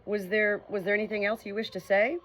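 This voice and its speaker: A woman's voice: mid-range voice, level tone, brisk, noisy background, lightly acted. Woman reading a mild, polite doctor. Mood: calm. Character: kind, calm grown man doctor.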